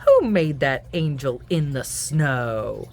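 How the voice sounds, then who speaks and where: Slow, very animated woman reading a picture book. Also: very deep voice. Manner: animated, singsong, deliberate storytelling. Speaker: children's book reader and host. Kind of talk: expressive picture-book read-aloud.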